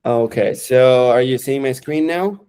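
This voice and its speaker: Mellow-voiced man demoing software. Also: medium pitch, clean tone. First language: Romanian. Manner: halting, lots of fillers and restarts. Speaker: software developer. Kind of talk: casual screen-share product demo.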